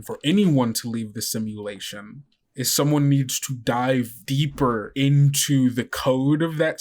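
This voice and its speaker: Guy running a tabletop rpg, normal mid pitch, narrating stuff to his players. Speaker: game master of an actual-play podcast. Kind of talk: narrating a tabletop role-playing game.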